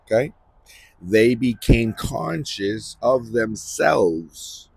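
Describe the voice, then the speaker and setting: A man hosting a Bible-reading series, giving informal commentary in a halting, repetitive way and often checking for agreement. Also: medium pitch, lively intonation, creaky, husky.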